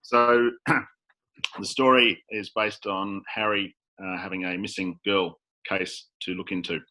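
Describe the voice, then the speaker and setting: A man's voice: sits low, level tone, measured pace, bright, forceful tone, rough recording. An Australian man, a crime author, reading his own hard-boiled first-person story over a video call.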